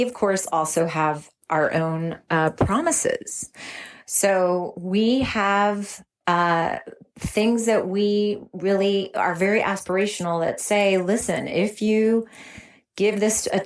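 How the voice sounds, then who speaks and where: A woman's voice: mid-range voice, lively intonation, slow. A woman speaking as an interview guest, sharing in a conversational, earnest and effusive way. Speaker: interview guest, recovery program veteran.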